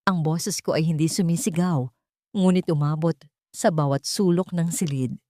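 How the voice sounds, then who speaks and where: A Filipino woman narrates a dramatic audio story in the first person, her voice sitting low. The recording is dry and close, with no room around it. She pushes her pitch and volume up when she voices other people's lines. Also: fairly even intonation, normal speed, dark tone, dry recording. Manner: rises in pitch and volume for quoted dialogue.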